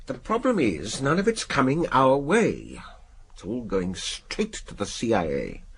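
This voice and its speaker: An English actor in a radio drama playing a brusque older spy chief, clipped, gruff and commanding. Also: male voice, mid-range voice, huge pitch swings, unhurried, full character voice. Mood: brisk, confidential.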